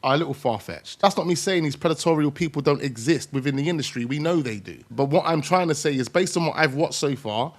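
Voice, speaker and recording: A man's voice: on the high side, quick pace, hardly any pauses. A man hosting reaction commentary in a conversational way, repeating his points for emphasis. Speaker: video host.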